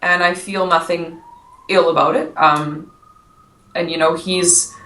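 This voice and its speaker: A woman's voice: fairly low pitch, steady tone, slow, sharp tone. A woman being interviewed as a guest, chatting casually and explaining ideas with simple everyday examples. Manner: friendly, explains with simple examples, laughs easily. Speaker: guest, Akashic records consultant. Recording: echoey room, far from mic.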